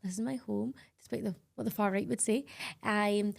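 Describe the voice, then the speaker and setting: A woman's voice: mid-range voice, fairly even intonation, moderate pace, gravelly. A Scottish woman hosting a podcast, talking candidly and emphatically in casual interview chat. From Scotland. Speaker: podcast host.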